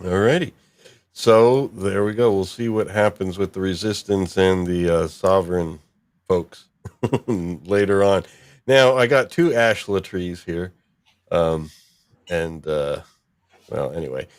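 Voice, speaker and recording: A man hosting a casual discussion of a story, halting as he thinks aloud. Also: low voice, no echo. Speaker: podcast host.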